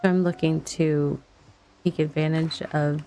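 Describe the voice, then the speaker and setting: A woman's voice: low-pitched, flat delivery, measured pace, warm tone, poor audio. A middle-aged woman chatting casually as she streams a video game, rambling a little and thinking aloud. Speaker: livestreamer playing a video game. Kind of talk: casual chat over gameplay.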